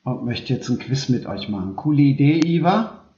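A man's voice: medium pitch, lively intonation, normal speed, mellow. A man hosting a casual conversation, recorded with a roomy, dull sound. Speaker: podcast host. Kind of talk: casual chat.

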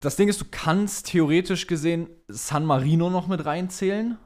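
A man's voice: fairly high pitch, normal speed, a little mellow. A man co-hosting a podcast in casual chat, dropping English words and phrases into his speech. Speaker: podcast co-host. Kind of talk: casual chat about motor racing.

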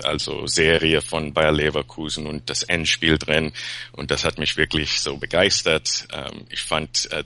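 A man's voice: extremely low pitch, vocal fry, bright, forceful tone. A man from Peru, a podcast guest chatting casually in German, with many fillers and restarts. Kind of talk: casual chat.

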